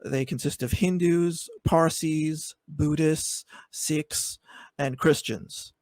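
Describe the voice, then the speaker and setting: A man's voice: fairly high pitch, fairly even intonation, takes it slowly, a little mellow, no room sound, clean studio sound. A man debating on a video call, hesitant with many fillers but building into pointed arguments. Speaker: debate guest. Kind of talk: online debate.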